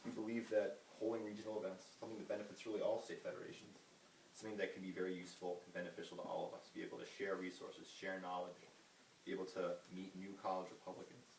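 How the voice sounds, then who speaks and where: A young man giving a halting campaign speech full of ums and uhs while losing his voice. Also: low-pitched, flat delivery. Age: young adult. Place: meeting room at a retreat. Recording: roomy, distant, noisy hall recording.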